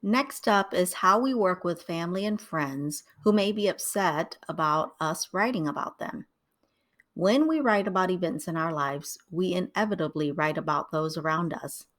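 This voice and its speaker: Calm, measured woman teaching writing. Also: lowish voice. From Vietnam, raised in the United States. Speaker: writing tutor. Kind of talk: scripted instructional narration.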